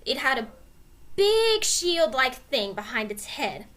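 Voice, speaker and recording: A woman reading a children's story aloud in an animated, expressive narrating style. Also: high voice, slow pace. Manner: expressive, clearly dramatized reading. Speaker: storybook reader.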